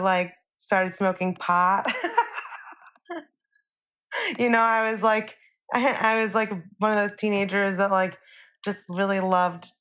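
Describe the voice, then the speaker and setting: A woman's voice: on the low side, a bit bright. A young adult woman, a singer-songwriter guest on a podcast, chatting casually and filling her talk with "like" and "you know". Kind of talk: casual interview chat.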